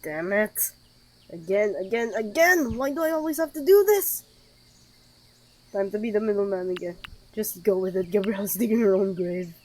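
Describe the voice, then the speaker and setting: A woman doing casual let's play commentary at a medium pitch. She is sarcastic and reactive, talking back to the game as she plays. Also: animated, moderate pace, darkish tone. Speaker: game streamer.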